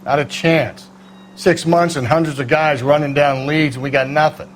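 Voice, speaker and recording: A man's voice: on the high side, steady tone, normal speed, background noise, lightly acted. An American man playing a cynical veteran homicide detective in a video game, blunt, world-weary and sardonic. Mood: cynical, matter-of-fact. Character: jaded, gruff male veteran homicide detective, cynical and dismissive. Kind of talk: cinematic video game acting.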